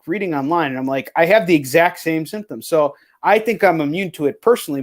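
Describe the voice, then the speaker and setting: A man hosting a casual chat interview, talking bluntly and swearing freely. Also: on the high side. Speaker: show host.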